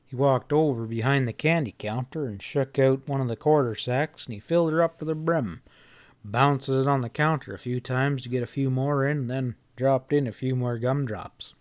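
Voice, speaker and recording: A man narrates a classic novel in the first person. His mid-pitched voice is folksy and expressive, leaning into the drama of the telling. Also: normal speed. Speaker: audiobook narrator.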